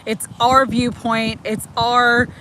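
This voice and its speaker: A woman's voice: a little high, little pitch movement, slow, noisy background. A woman in her forties giving chatty, affirming oracle card readings on a livestream, laughing often between lines. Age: 40s. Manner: warm, chatty, giggly, affirming. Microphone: livestream mic.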